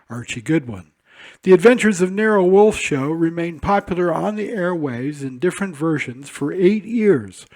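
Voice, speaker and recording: Higher-voiced radio program announcer. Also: male voice, darkish tone. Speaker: program host.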